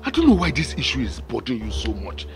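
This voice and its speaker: A man's voice: medium pitch, huge pitch swings, fast, faint music behind. An actor playing an exasperated brother in a film drama, a man with a Nigerian accent and a firm, pleading manner. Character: exasperated married brother fending off meddling.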